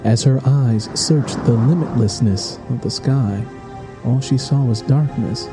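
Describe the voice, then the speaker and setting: A man's voice: medium pitch, a little slow, music in the background. A man reading a scripted, dramatic story as its narrator. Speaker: audiobook narrator.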